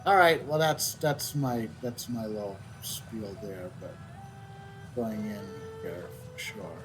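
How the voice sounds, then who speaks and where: A middle-aged man giving casual gameplay commentary, thinking out loud as he streams. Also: fairly low pitch, slow. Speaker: game streamer. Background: game music.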